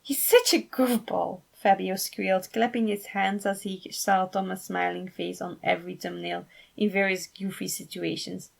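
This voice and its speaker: Woman, creaky voice, medium pitch, expressive amateur story narration. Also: normal speed, few pauses. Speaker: fan fiction author and narrator.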